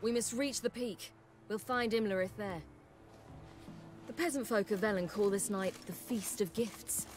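A determined young warrior woman from a fantasy game, acted by a woman, with a medium-pitched British voice. Her delivery is earnest and formally phrased, with faint music underneath. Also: measured pace, a little mellow, lightly acted. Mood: focused. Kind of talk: cinematic fantasy game acting. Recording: music behind the voice.

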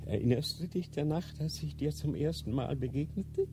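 An actor playing an aged, ailing Grand Inquisitor in a radio drama, solemn and halting, declaiming with remorse and fervor that rises to outcries. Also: male voice, on the high side, noisy background, natural voice. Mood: solemn confession. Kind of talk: German radio drama acting.

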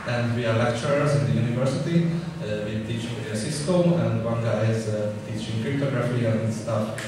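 A man's voice: mid-range voice, moderate pace. A young man from Hungary speaking English with a Hungarian accent, giving a hesitant talk full of fillers and restarts on a stage, recorded from a distance. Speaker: hackerspace member giving a talk. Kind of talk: conference talk. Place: stage in a large hall. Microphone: room mic from a distance. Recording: echoey hall, distant mic, steady noise.

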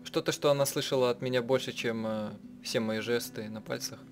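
Man, let's-play narrator, halting live translation, mid-pitched voice, soft music behind. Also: fairly even intonation. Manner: halting, rephrasing as they translate. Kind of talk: live spoken translation of a visual novel.

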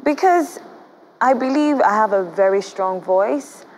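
A young Nigerian woman, a guest on a studio talk show, explains her views in a measured, cautious way on a lapel mic. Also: mid-range voice, expressive, a little mellow, a little hiss or hum, rough recording.

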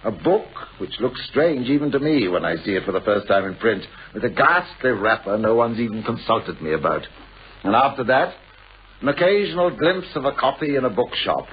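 A man's voice: mid-range voice, expressive, normal speed. An actor playing a wry, self-doubting male novelist in a 1960s radio play, urbane and wordy. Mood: wry complaint. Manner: urbane, wordy, wryly complaining. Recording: muffled, raspy old radio recording.

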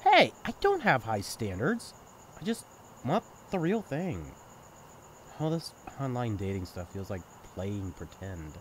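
A man's voice: mid-range voice, wildly swooping pitch, measured pace, slight background noise. A young man acting in an intimate audio roleplay as a sibling's best friend, talking candidly and casually in a caring, teasing way. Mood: sincere, thoughtful. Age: young adult. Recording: night ambience with chirping crickets. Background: crickets and insects, outdoor ambience.

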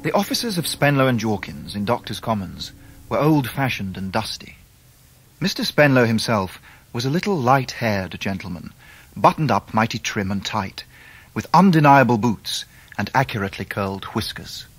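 An english gent telling his life story in a radio play, slightly husky, lively intonation, literary. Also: mid-range voice, dry recording. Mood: reflective. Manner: measured, literary. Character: grown English gentleman recounting his own youth. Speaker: narrator. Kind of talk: scripted first-person drama narration.

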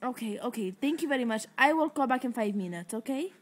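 A teenage girl fakes a panicked phone call, rambling and overdoing her distress. Her voice sits high and is picked up close by a laptop webcam in a living room. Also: expressive, fast. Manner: fake-distressed, rambling, stifling laughs. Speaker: prank caller. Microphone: laptop webcam mic up close.

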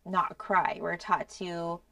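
A woman's voice: lowish voice, little pitch movement, unhurried, gravelly, pushed and bright, no room sound, distant mic. A middle-aged American woman explaining things earnestly and a little hesitantly in a conversational podcast discussion. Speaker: podcast co-host explaining a grief program. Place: small indoor podcast studio. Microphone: podcast microphone on boom arm.

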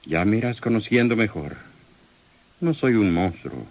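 An actor playing a domineering, smooth-talking male crime boss with condescending manner in an old radio soap opera. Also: on the low side, expressive, unhurried, a little mellow. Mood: self-satisfied, courting. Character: menacing, possessive male crime boss, self-satisfied suitor.

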